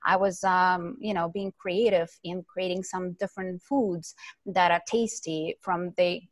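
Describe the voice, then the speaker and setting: A middle-aged woman from Ukraine tells her story as a podcast guest. Her voice sits low and the sound is close and dry. She talks eagerly, full of fillers and restarts. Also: fairly even intonation, measured pace, no room sound. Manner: chatty, many fillers, eager. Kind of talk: casual interview storytelling.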